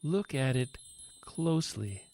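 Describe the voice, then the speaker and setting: This is a man acting a sly radio-play villain. He talks very slowly, with wide swoops in a medium-pitched voice. The manner is sinister and coaxing, like a hypnotist. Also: lively intonation, darkish tone. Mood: hypnotic coaxing. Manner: coaxing, drawn-out hypnotic delivery. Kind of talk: audio drama acting.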